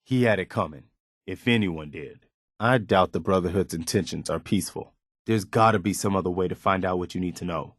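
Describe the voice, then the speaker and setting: An actor playing an earnest, dutiful militia soldier in a post-apocalyptic video game, speaking in a plain, folksy way. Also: male voice, sits low, fairly quick, fairly bright tone, no echo. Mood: earnest, wary. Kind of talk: video game companion voice lines.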